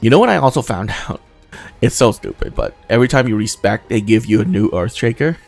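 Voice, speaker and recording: Creaky-voiced man doing casual game commentary. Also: fairly low pitch, very animated, fairly quick, a little mellow, faint music behind. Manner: thinking aloud, casually profane. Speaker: gaming video host.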